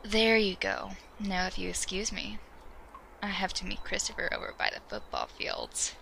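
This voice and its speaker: A woman's voice: medium pitch, big pitch swings, normal speed, gravelly, pushed and bright, slight background noise, full character voice, low-quality recording. A woman acting a confident, teasing, supportive teenage schoolgirl in an amateur audio drama, wry and reassuring.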